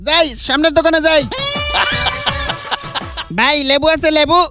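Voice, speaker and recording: A man's voice: extremely high pitch, little pitch movement, a little slow, over music. A man voicing a naive, flustered working-class husband in a comic cartoon, with a Bangladeshi accent. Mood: exasperated.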